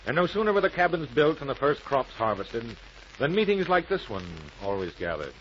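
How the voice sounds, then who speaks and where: A man's voice: medium pitch, sing-song, brisk, fairly bright tone. An American man narrating a scripted 1940s radio program in a solemn, dramatic, oratorical style. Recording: muffled, noisy old radio recording.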